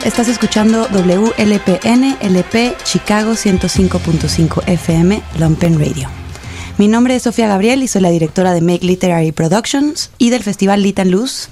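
A woman hosting a radio interview, speaking in an enthusiastic, clear announcer manner. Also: medium pitch, darkish tone. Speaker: radio host.

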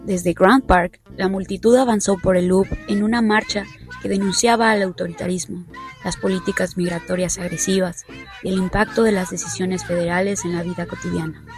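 Woman, news narrator, measured scripted delivery, faint music underneath. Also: fairly low pitch, fairly even intonation.

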